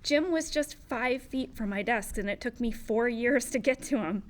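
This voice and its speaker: A woman acting a gentle, reflective office worker in a sitcom confessional. Her voice is fairly high, earnest and heartfelt. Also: normal speed, lightly acted. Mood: earnest, wistful. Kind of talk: sitcom table read, confessional monologue.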